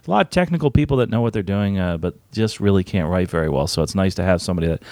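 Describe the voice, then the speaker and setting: Male host, low-pitched, rapid delivery, dry close sound. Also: dry recording. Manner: energetic, quick with frequent fillers. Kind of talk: conversational interview. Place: university.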